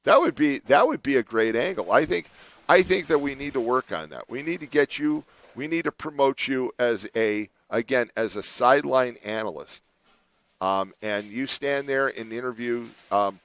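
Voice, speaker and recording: A man's voice: medium pitch, expressive, moderate pace, fairly bright tone. A man hosting a morning radio show, chatting casually and laughing often with his co-host. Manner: chatty, laughs often, does playful mock voices. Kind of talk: casual studio banter. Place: radio studio.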